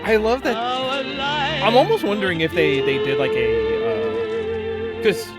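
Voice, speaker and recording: Very animated livestreamer musing casually. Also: high voice, slow, over loud music.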